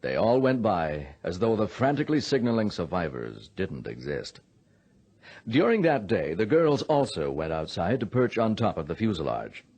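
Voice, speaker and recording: A man narrating a radio drama from a script, in a grave, measured storytelling manner. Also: fairly low pitch, darkish tone, breathy edge.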